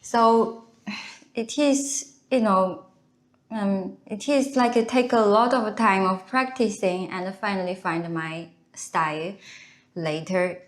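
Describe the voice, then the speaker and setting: A native Chinese speaker, this woman co-hosts a casual chat in Chinese-accented English, haltingly searching for her words. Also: mid-range voice. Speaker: co-host, video creator.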